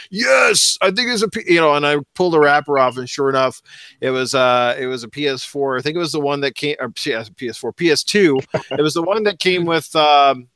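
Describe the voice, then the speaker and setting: A man's voice: medium pitch, a bit bright, no echo. A man in his forties, a podcast co-host, telling a story in casual chat and often checking in with "right?" and "you know". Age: 40s.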